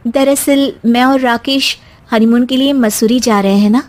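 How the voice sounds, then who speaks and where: A woman as a high-voiced, firm young bride. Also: fairly even intonation, moderate pace, natural voice. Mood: pointed. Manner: polite but firm. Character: calm, assertive young newlywed daughter-in-law.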